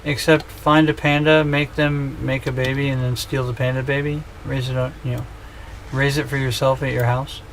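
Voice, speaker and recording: A man's voice: medium pitch, flat delivery, moderate pace, fairly bright tone, slight background noise. A man in his thirties chatting casually on a gaming livestream, joking and rambling with self-mocking humor. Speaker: streamer.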